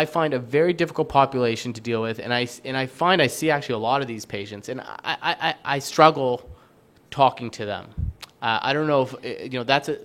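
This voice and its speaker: A man's voice: mid-range voice, fairly bright tone. A man on a medical panel, speaking thoughtfully and searching for his words. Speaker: panelist physician. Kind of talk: panel discussion.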